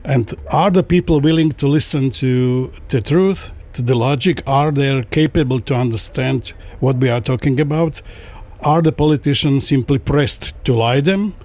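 Brief: middle-aged man, translator on a discussion panel, mid-pitched, pauses and restarts often, careful English. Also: darkish tone. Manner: halting, frequent restarts, non-native phrasing. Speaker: translator and interpreter.

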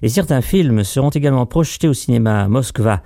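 A man reading a brisk, informative news announcement from a script. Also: medium pitch, animated, gravelly, mellow, no echo, clean studio sound.

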